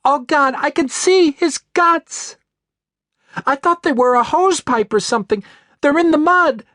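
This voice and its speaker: A man's voice: very high voice, unhurried, bright, forceful tone, no echo, studio quality, voice pushed up, heavily acted. A man reading an audiobook, voicing an anxious young working woman phoning for help. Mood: horrified panic.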